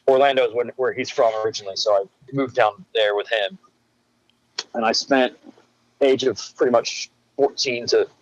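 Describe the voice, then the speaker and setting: Halting man on slightly dull audio. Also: fairly low pitch. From England. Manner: halting, frequent restarts and fillers. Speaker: musician guest. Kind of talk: casual interview chat.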